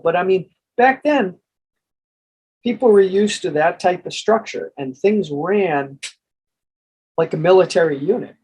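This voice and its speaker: A man with an American accent and a husky voice, presenting in an informal monologue and reading from a book. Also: on the high side, a little mellow, lo-fi sound. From United States. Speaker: presenter and reader.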